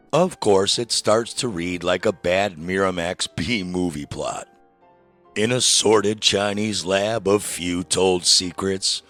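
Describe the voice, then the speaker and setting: Sardonic deep-voiced male documentary narrator. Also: fairly bright tone. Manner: wry, sardonic, with dry asides. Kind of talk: scripted narration.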